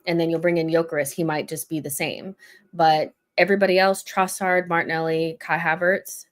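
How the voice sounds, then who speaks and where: A woman's voice: deep voice, little pitch movement, moderate pace. A woman hosting a casual football chat, blunt and pessimistic. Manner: blunt, pessimistic fan venting. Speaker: host.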